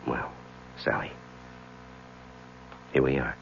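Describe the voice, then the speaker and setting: An actor playing an ambitious, haunted lawyer in a radio drama, speaking in a hesitant, confiding manner. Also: male voice, rumbling low, steady tone, slow pace, vocal fry, a bit bright, slight background noise, full character voice. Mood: subdued. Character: ambitious, haunted lawyer husband, middle-aged, outwardly composed. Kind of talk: old-time radio drama acting and narration. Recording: dull radio recording, music behind.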